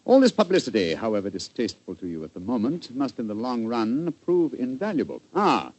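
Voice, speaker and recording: An actor playing a commanding English gentleman detective, precise and wry, in 1940s radio style. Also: medium pitch, very animated, brisk, poor audio. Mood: incisive.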